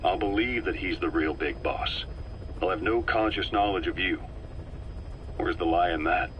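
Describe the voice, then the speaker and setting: Actor as a deep-voiced, shrewd spymaster, measured and grave. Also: male voice, dull audio, a little hiss or hum, close to an everyday voice. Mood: grave, resolute. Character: shrewd, world-weary male intelligence operative, calm schemer. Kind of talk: dramatic video game cutscene acting.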